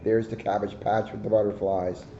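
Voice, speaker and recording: A man's voice: fairly low pitch, level tone, moderate pace, dark tone. An American man, a police officer chatting informally to local families in a community video. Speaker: police officer reading to children. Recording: dull sound with faint noise.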